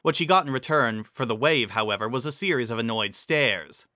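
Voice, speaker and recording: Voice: medium pitch, a little fast, bright, forceful tone, dry recording, studio quality. A narrator giving a dramatic, scripted reading of a fantasy story.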